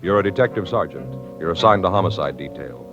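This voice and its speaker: A man with a very low, grave voice delivers formal radio-drama announcements in measured, declarative sentences. Loud brass music plays around him on a dull old radio recording. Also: expressive. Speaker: show announcer.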